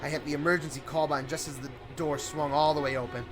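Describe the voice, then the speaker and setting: A man's voice: a little high, steady tone, fast. A man reading a scripted first-person horror story in a suspenseful, theatrical way. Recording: prominent music under the voice. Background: music.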